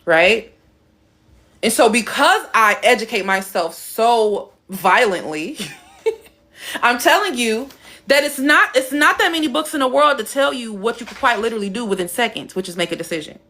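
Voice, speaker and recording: A young woman talks straight to her viewers on a live stream, blunt and emphatic, swearing freely. She plays with her voice, dropping it low and deep or making it high and animated. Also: moderate pace. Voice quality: playful, shifts her voice between high and deep. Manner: blunt, emphatic and swearing, reading out chat comments.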